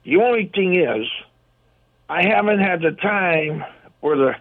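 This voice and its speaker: Gruff older man on a tinny phone line. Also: high voice, animated, measured pace. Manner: rambling, folksy, chuckling. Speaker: caller. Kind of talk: casual phone-in chat. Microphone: telephone.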